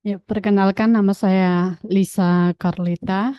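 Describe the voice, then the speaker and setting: A woman from West Kalimantan giving a brief, measured self-introduction over a video call. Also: medium pitch, fairly even intonation, smooth, dry recording, muffled recording.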